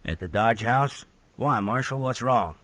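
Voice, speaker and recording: An easygoing, hungover mule skinner in a western radio drama. His is folksy and sheepish, at middling pitch in a close, dry recording. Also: expressive, unhurried, fairly bright tone, no echo. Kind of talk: 1950s radio western acting.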